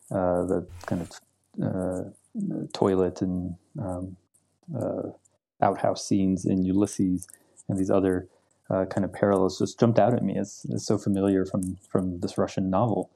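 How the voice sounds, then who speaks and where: A man's voice: low voice, level tone, a little slow, gravelly, warm tone. A young man, a literature professor, answering interview questions in a thoughtful, hesitant way with many ums and repeated words. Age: young adult.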